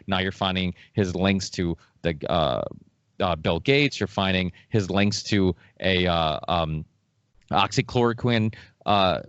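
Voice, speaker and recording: A man's voice: deep voice, slow pace, fairly bright tone, clean tone, dry recording. A man from Massachusetts hosting a casual podcast, talking in a rambling, emphatic way and repeating himself as he goes. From Massachusetts, born in Portugal. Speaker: podcast host. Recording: dull lo-fi audio.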